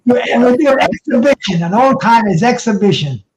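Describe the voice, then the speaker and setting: A man in casual, animated banter. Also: extremely high pitch, normal speed, low-quality recording.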